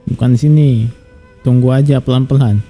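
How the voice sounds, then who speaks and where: A man's voice: mid-range voice, fairly even intonation, brisk, warm tone, faint music behind. A man with an Indonesian accent giving casual live commentary as he plays a video game. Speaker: game streamer.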